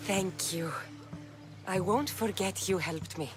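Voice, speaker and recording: An actress playing a determined young Greek mercenary in a video game, direct, dry and sceptical. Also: female voice, mid-range voice, slow pace, over music, natural voice. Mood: serious, surprised. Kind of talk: video game dramatic dialogue.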